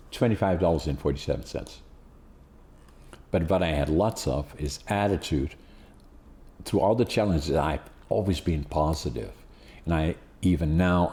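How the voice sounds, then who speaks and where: An elderly Dutch-accented man hosts a podcast, talking loosely and circling back on himself. His voice is low and warm, with a mellow tone. He wanders through his story with plenty of fillers. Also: a little slow. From Netherlands. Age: 80s. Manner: rambling, many restarts and fillers. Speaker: podcast host. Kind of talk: casual interview chat.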